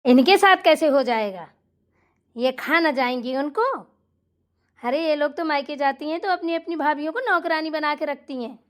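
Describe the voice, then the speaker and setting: Woman playing cartoon mother-in-law, high voice, bossy, doting, indignant, scolding. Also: moderate pace, close to an everyday voice. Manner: scolding, indignant, rhetorical questions. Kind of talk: Hindi cartoon family comedy acting.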